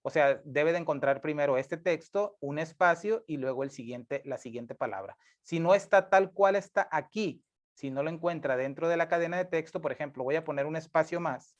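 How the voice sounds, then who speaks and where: A man's voice: fairly high pitch, moderate pace. A male instructor, explaining step by step, patiently repeating points and correcting himself with frequent tag questions. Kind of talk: screencast coding lesson. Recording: dull lo-fi mic.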